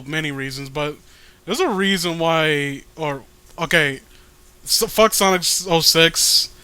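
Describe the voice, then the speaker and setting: A man's voice: fairly high pitch, pushed and bright, smooth, no room sound. A man riffing casually as he hosts a comic read-along, profane, emphatic and argumentative. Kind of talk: casual riffing commentary with read-aloud dialogue.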